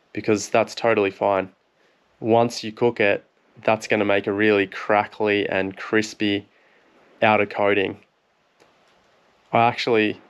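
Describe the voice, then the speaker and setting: A man in his thirties with an Australian accent, a fishing vlogger calmly explaining how to prepare fish in a voice-over. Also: fairly low pitch, clear, resonant tone, muffled recording. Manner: relaxed, plain explaining. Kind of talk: instructional cooking voice-over.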